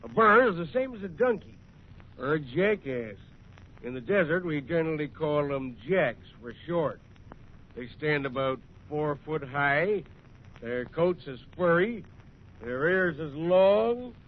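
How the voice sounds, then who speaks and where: An actor playing a jovial old American frontier ranger, telling yarns in a rustic, folksy manner in an old radio western. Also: male voice, lively intonation, measured pace. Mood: folksy, amused. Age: older. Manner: rustic, folksy, chuckling drawl. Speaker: storyteller. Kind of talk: 1930s radio western storytelling. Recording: tinny, noisy old radio recording with rumble.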